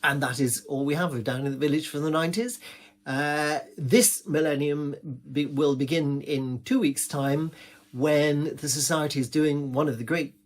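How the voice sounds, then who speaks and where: A man's voice: on the high side, steady tone, moderate pace. A man with an English accent performing a gossipy comic monologue, rambling along in an indignant village-gossip manner.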